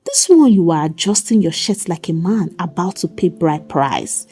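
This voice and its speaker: Woman doing a proud sassy young shop boss in a story, husky, blunt, very dramatic. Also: on the low side, very animated, moderate pace, dark tone. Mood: teasing. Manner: mocking, theatrical, blunt.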